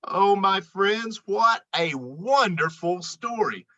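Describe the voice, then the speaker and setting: A woman reading a children's book aloud in an expressive, dramatic and deliberate storytelling style. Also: mid-range voice, muffled recording.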